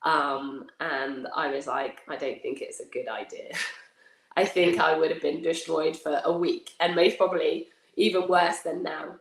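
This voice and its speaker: A middle-aged woman, croaky from a cold, chatting as a guest in a relaxed interview and laughing easily. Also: low-pitched, a little slow, roomy sound, low-quality recording. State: croaky from a cold, losing her voice. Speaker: guest, athlete and gym coach. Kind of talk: casual interview chat.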